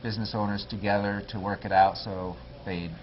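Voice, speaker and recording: A man's voice: on the low side, little pitch movement, fairly quick, lo-fi sound, a little hiss or hum. A man running for local office, talking earnestly and plainly as a guest on a local TV interview. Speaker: political candidate.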